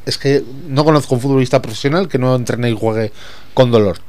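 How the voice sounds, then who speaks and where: A man's voice: mid-range voice, fairly quick, a little mellow. A man, talking as a sports pundit in a casual panel, blunt and opinionated.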